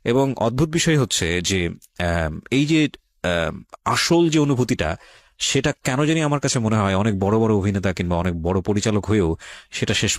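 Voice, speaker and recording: A male radio host with a low voice, recorded dry and close. He speaks reflectively to his guest and listeners, in a measured way with frequent pauses. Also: no room sound. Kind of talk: reflective interviewing, addressing listeners.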